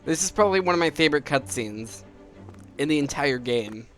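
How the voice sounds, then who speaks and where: Young male gaming host: casual playthrough commentary, slightly high pitch, light music underneath. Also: lively intonation, brisk.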